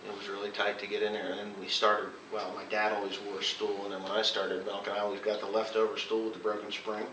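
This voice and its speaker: Farmer telling stories in a roomy, noisy recording. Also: male voice, on the low side, monotone, quick pace. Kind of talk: casual rambling storytelling among friends. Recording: roomy, distant mic, noisy background.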